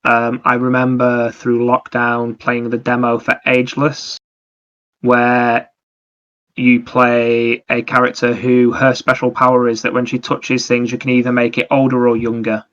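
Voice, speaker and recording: A man's voice: medium pitch, completely flat delivery, a little slow, a bit bright, lo-fi sound. A man chatting casually as a podcast co-host about video games, explaining things in a rambling, anecdotal way.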